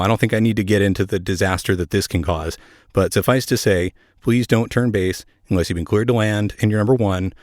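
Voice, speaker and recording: A man's voice: lowish voice, a little mellow, no echo, clean studio sound. A man hosting a podcast, delivering scripted narration in a conversational way with wry asides. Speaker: podcast host; air traffic controller.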